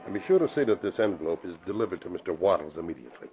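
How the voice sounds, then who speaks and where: An actor playing a self-satisfied, money-minded man in 1940s radio drama, with a gravelly voice. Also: low-pitched, lively intonation, rapid-fire. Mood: polite. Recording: muffled old radio, faint music.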